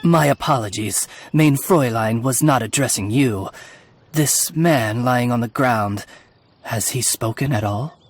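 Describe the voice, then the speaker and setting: A man's voice: mid-range voice, unhurried. A man voicing a refined, deferential attendant to a princess in a video game, speaking with butler-like formality. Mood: polite, composed. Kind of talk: video game character acting.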